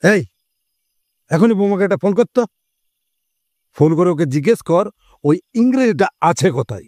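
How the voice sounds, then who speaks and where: A man's voice: high voice, animated, normal speed, a little mellow, no echo, studio quality. An actor playing a boastful, jokey, protective middle-aged father in an audio story, theatrical and teasing. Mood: eager. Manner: theatrical, teasing, exclamatory with colloquial banter. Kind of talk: Bengali audio story acting.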